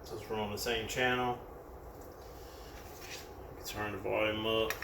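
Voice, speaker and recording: Male American product reviewer, casual and unscripted, creaky voice, picked up from a distance. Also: fairly low pitch, level tone, a little slow. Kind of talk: casual hands-on product demonstration. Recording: roomy, distant mic with background noise.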